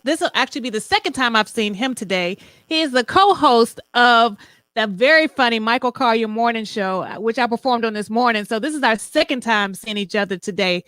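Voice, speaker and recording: Woman, forties, bright tone, dry sound, chatty teasing host, video call. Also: fairly high pitch, no echo. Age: 40s. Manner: chatty, teasing, hypes guests. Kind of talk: casual comedy talk show.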